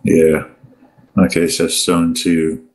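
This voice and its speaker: Deep-voiced man, casual commentary. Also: little pitch movement, slow, darkish tone. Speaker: video host watching and commenting on virtual air traffic control session.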